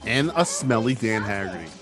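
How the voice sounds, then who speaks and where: A man's voice: fairly low pitch, animated, normal speed. A man tossing sarcastic, dry jabs while watching a movie with friends. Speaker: movie riffing commentator. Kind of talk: casual jokey movie commentary. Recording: music from the film playing behind.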